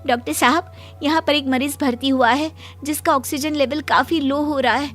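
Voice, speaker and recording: A woman's voice: high-pitched, fairly even intonation, normal speed, faint music behind, lightly acted. A woman playing an anxious, respectful nurse in a cartoon moral story, pleading and deferential. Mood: urgent, pleading. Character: anxious, respectful female night-shift nurse.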